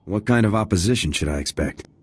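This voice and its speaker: An actor playing a resolute, blunt soldier with a clipped military manner in a video game. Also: male voice, fairly low pitch, animated, quick pace, husky. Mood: businesslike. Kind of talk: video game cutscene dialogue.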